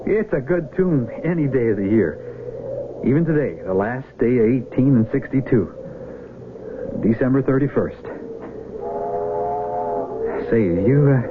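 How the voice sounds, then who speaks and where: A man's voice: mid-range voice, animated, measured pace, pauses often, natural voice. Eager country-boy soldier played by a man, tinny radio. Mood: cheerful. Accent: rural American. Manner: folksy, enthusiastic, drawling country talk. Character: eager, boyish young rural Union Army volunteer. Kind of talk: 1970s American radio drama acting. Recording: noisy, tinny vintage radio broadcast recording.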